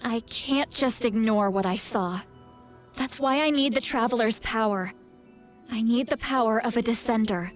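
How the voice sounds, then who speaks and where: A fantasy game seer voiced by a woman with a fairly high voice and light music behind her. She sounds earnest and troubled, yet determined. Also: moderate pace, natural voice. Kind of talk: scripted video game voice acting.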